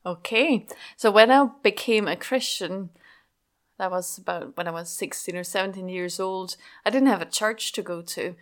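A woman's voice: mid-range voice, moderate pace. A young woman from Switzerland with a Swiss accent, a senior pastor earnestly telling her story as an interview guest. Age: young adult.

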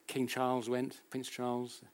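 A British man speaks as an academic lecturer at a medium pitch. He explains in a conversational, anecdotal way, putting rhetorical questions to his listeners. His sentences often stop and start again as he repeats and corrects himself. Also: monotone. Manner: halting, self-correcting, with frequent repetitions.

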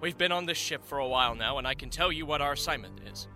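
A man's voice: fairly high pitch, fast, faint music behind. An actor playing a resolute Marine commander, addressing his men in a commanding manner in a radio drama. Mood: rallying. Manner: forceful, commanding.

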